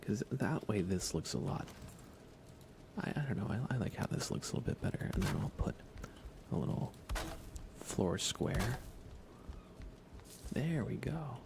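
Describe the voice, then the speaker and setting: A soft-spoken man doing casual ASMR game commentary on a live stream, often slipping into whispers. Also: medium pitch, lively intonation, unhurried, long pauses. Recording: clear background noise.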